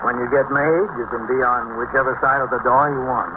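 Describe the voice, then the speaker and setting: A man's voice: medium pitch, steady tone, brisk, close to an everyday voice. An actor playing a wry, composed senior policeman in a 1950s radio drama. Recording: muffled old radio recording, loud noise.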